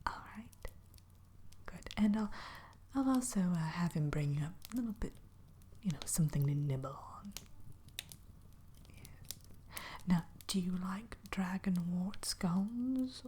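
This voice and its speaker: A woman's voice: on the low side, animated, measured pace, long pauses, mellow, a little hiss or hum. A woman playing a kindly, spooky witch innkeeper in a whispered ASMR roleplay, musing hesitantly with coy mischief as she tends to a guest. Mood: musing, hospitable. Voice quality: breathy, whispery.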